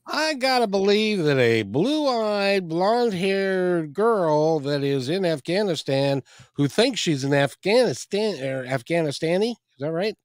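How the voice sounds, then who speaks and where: A man's voice: high-pitched, very animated, measured pace, dry recording. A middle-aged man hosting a talk radio show, chatty and jokey, repeating phrases as he talks into a studio microphone. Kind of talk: interview and show outro. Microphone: studio microphone with headphones. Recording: dry close mic, faint music.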